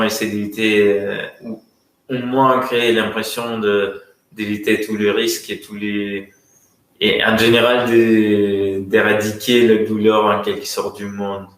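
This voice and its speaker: A man from Russia with a Russian accent speaks French as a guest economist at a conference, often pausing to search for words. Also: lowish voice, level tone, a bit bright. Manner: hesitant, searching for words, many fillers and restarts. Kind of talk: conference talk. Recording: very echoey room.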